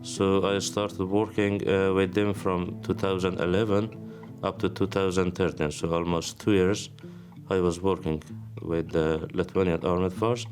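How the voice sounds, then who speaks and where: A young Afghan man speaking English with an Afghan accent, telling his life story haltingly with many pauses. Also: low voice, fairly even intonation, darkish tone. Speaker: interviewee. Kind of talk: documentary testimony. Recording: music bed under the voice.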